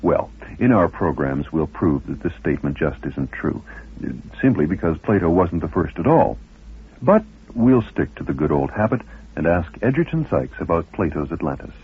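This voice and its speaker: A man's voice: low voice, very animated, normal speed, husky. A man hosting a radio program, reading scripted documentary narration with a dramatic, emphatic delivery. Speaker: radio host. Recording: narrow phone-like old radio broadcast.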